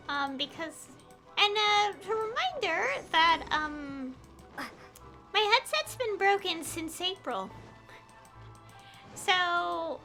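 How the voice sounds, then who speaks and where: High, bright, animated woman streamer. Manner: rambling, conversational. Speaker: game streamer. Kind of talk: casual livestream chat over gameplay.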